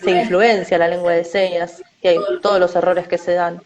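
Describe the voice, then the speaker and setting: A woman with a Rioplatense Spanish accent giving measured interview answers, often tagging her sentences with a questioning "no?". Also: on the low side, level tone, a little mellow, highs cut off, a little hiss or hum. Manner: measured, often adds "no?" tags.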